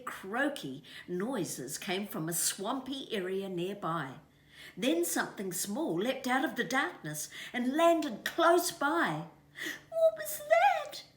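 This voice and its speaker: A woman with a New Zealand accent reading a children's story expressively, dramatic and hushed in places. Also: medium pitch, sing-song, slow pace. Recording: echoey room.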